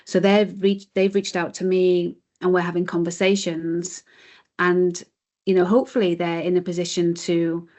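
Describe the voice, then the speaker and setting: A woman's voice: fairly low pitch, level tone, normal speed, a little mellow. A British woman giving earnest, explanatory answers as the guest in a conversational interview. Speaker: interview guest, chief executive of a mindfulness charity.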